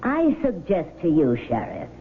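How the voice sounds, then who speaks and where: An actress playing a cool, formal, insistent defense attorney in a radio drama, speaking precisely and challengingly. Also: female voice, on the low side, huge pitch swings, a little slow. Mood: coolly challenging. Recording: tinny, noisy 1970s radio broadcast.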